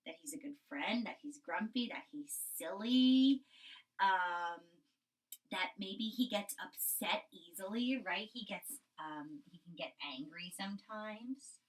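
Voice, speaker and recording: A woman's voice: medium pitch, lively intonation, slow, distant mic, low-quality recording. A woman teaching young children through a read-aloud, explaining simply and expressively and checking in with questions.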